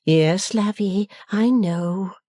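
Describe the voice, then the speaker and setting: A woman voicing an affectionate, resolute young woman in a storybook, brisk and coaxing. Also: mid-range voice, mellow, no room sound, studio quality, pitched above the performer's own voice.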